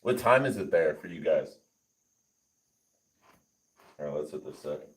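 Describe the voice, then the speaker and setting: Man, very deep voice, unhurried speech, casual halting chat, fitness livestream. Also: slight background noise, poor audio. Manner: relaxed, halting, reads viewer comments aloud.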